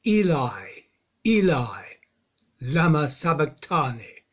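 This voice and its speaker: A man playing a dying, anguished Jesus who calls out loudly in a dramatised scripture reading. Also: on the high side, big pitch swings, lo-fi sound, exaggerated voice. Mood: forsaken anguish. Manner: loud, slow, anguished.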